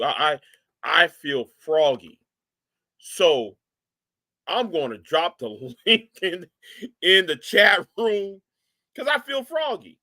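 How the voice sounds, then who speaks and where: Animated man scolding on a live stream. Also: high voice. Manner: animated, repetitive, mocking, scolding. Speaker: live stream host reacting to a video. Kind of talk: reaction commentary to a live chat.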